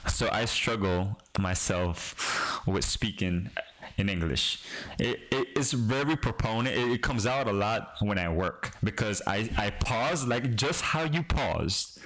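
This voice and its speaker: A young man from the Caribbean, whose first language is Creole, talks to his live-stream chat while he works on code. His voice sits a little low, and his speech wanders with frequent pauses and restarts. It is loose, easygoing streamer talk. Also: a bit bright, low-quality recording. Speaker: streaming developer.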